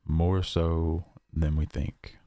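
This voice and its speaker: A man playing a shaken, paranoid survivor recording a horror audio log, speaking haltingly with long pauses. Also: very deep voice, little pitch movement, warm tone, no echo, full character voice. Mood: weary, reflective. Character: shaken, paranoid male survivor of a nightmare realm.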